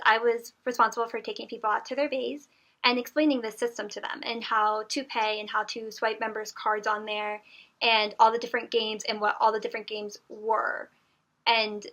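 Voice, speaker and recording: A woman's voice: a little high, a bit bright. A young adult woman answering a job interview on the phone, earnest and polite, with frequent fillers. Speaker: job applicant.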